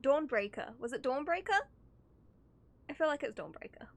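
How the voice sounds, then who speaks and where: A woman livestreaming a game, reacting in an animated, giggly way. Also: high voice, normal speed. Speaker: streamer. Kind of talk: live game reaction commentary.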